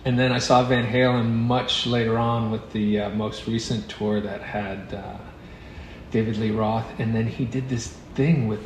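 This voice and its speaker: A man's voice: fairly low pitch, fairly even intonation, unhurried. A Canadian man in his fifties, a native French speaker, talking in a laid-back way with dry humor in a casual interview. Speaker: actor, guest. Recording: dull lo-fi sound with clear background noise.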